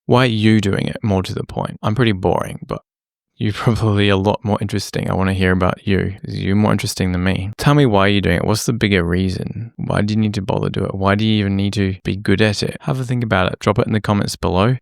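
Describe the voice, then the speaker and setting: A man talks to camera in a loose, rambling way, often putting himself down and apologising as he goes. His lowish voice is gravelly and a little croaky from being unwell. The sound is dry and close, with little room around it. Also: steady tone, quick pace, no room sound. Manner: self-deprecating, rambling, apologetic. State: slightly croaky from illness. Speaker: music producer and vlogger. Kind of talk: rambling casual talk to camera. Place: small home room lit by a lamp. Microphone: camera-facing setup at a desk.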